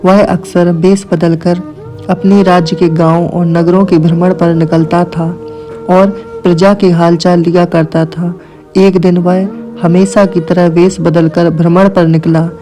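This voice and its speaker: A man's voice: high voice, flat delivery, unhurried, dark tone, faint music behind. A man narrating a scripted moral tale as a storyteller.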